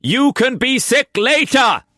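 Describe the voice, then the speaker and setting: A frantic young man yelling over a storm, his voice pushed high and strained, as a man reads him out. He sounds tense and urgent. The sound is dry and close. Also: very animated, unhurried, dry recording, heavily acted.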